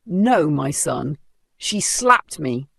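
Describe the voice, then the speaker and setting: Narrator playing gentle old grandmother, shaken and sad; quiet, slow delivery, gravelly. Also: mid-range voice, dry recording, studio quality, voice pushed up, lightly acted. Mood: sorrowful. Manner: trembling, soft and slow. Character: frail gentle grandmother around ninety, hurt.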